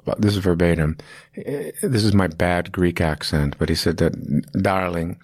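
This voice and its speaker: A man's voice: sits low, normal speed, darkish tone, no room sound, clean studio sound. A man in his fifties, an acting teacher, telling long stories in an interview, rambling with restarts and fillers. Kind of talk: casual interview storytelling.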